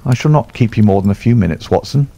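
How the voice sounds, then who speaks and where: A cool, sharp-witted detective, as a narrator puts him on, speaking with crisp assurance. The voice rises only a little above the reader's own low, gravelly tone and moves with lively inflection. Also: male voice, fast, slight background noise. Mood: assured calm.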